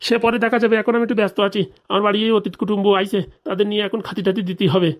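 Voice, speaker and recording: A man's voice: high-pitched, fairly even intonation, rapid-fire, lightly acted. A man voicing an indignant, scheming middle-aged villager in a comedy cartoon, grumbling and swearing in rustic colloquial speech. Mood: dismissive, irritated. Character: indignant, scheming forty-year-old village man, jilted husband.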